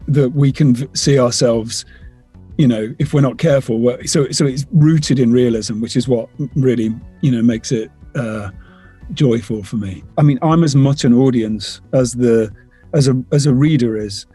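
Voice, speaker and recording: A man talking thoughtfully and haltingly in a casual interview. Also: medium pitch, warm tone, breathy edge, soft background music.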